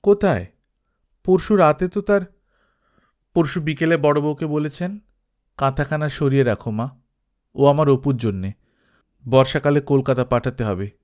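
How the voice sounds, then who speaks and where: A man's voice: a little high, normal speed, pauses often, darkish tone, natural voice. A man voicing a caring, insistent village woman in an audiobook, chatty and homely like an elder sister. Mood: explaining gently.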